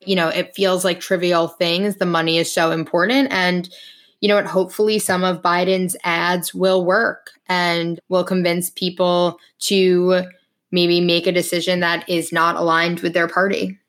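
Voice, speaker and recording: A young woman co-hosting a podcast, talking in a relaxed, casual way with lots of fillers. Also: fairly low pitch, a little slow. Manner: relaxed, casual, many "like" and "you know" fillers. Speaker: podcast co-host.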